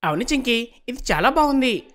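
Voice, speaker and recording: A woman's voice: a little high, very animated, brisk. A woman voicing a cheerful, encouraging young boy in a children's cartoon, enthusiastic and persuasive. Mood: admiring. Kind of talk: animated children's story dubbing.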